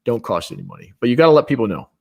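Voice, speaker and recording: A man joining an online show as a guest, speaking plainly and directly. His pitch sits in the middle of the male range. He often jumps up in pitch when he stresses a point. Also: huge pitch swings, rapid-fire, creaky. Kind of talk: casual conversation over video call.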